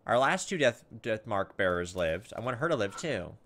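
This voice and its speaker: A man's voice: fairly low pitch, big pitch swings, moderate pace, a bit bright. A man giving casual gameplay commentary as a let's play host, thinking aloud in a hesitant, musing way.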